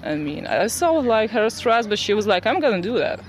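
A woman's voice: mid-range voice, sing-song, normal speed, a little hiss or hum. A young woman in her twenties from Ukraine, answering interview questions in casual English with many fillers and hesitations, recorded outdoors in a city park. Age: 20s.

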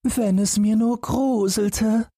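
A man's voice: sits high, fairly even intonation, takes it slowly, dark tone, slightly rough, no room sound, studio quality, voice pushed up, exaggerated voice. A man voicing a fearless, naive and cheerful young lad in a storybook tale, perky and cheeky. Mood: carefree. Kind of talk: storybook character voice.

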